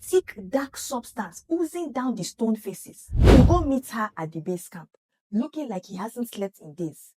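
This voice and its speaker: A woman's voice: fairly high pitch, animated, a little slow, warm tone, husky, no room sound. A woman narrating a scripted story dramatically, emphatic and speaking straight to the listener.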